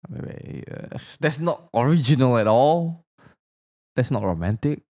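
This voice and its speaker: A man who grew up in Indonesia speaks English as a second language. His chat is easygoing and teasing, with frequent laughter. His pitch moves up and down a lot. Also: lively intonation, measured pace, a little mellow. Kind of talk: casual couple chat.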